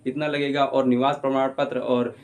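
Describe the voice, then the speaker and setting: Casting: young man, mid-pitched voice, informal video host explaining updates, repetitive and reassuring. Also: little pitch movement, moderate pace. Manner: repetitive, reassuring, addresses listeners as brothers.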